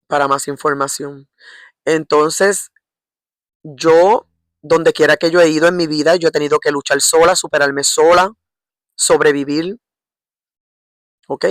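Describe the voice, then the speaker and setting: A middle-aged woman with a Puerto Rican accent preaching informally in a halting, repetitive way, often checking for agreement with short tag questions. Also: deep voice, no room sound, clean studio sound. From Puerto Rico. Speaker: lay preacher. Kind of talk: informal religious exhortation.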